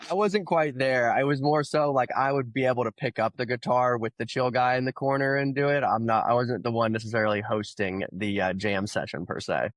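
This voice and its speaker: A man in his thirties from Oklahoma, a podcast guest, telling his background in a relaxed, rambling way with plenty of fillers. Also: mid-range voice, fairly quick, hardly any pauses, no echo. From Oklahoma, USA. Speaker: guest, crypto founder. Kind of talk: casual conversational interview.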